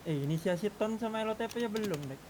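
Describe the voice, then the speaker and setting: A man's voice: animated, fast talker. A man talking casually on gaming voice chat, repeating words as he reasons. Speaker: gamer discussing plans. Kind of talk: casual group chat during online roleplay gaming. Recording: noisy background rumble.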